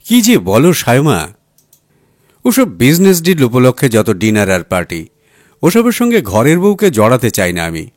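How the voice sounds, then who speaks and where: A man's voice: mid-range voice, huge pitch swings, a little slow, dry recording, studio quality. A man voicing a busy businessman husband in an audiobook story, breezy, dismissive and patronising. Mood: breezily dismissive. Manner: breezy, patronising, listing advice. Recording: music under the voice.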